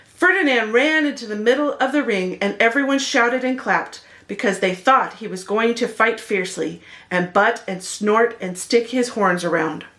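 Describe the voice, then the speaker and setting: A woman's voice: a little high, moderate pace, a bit bright. A woman narrating a children's picture book expressively, as a read-aloud host speaking to young listeners.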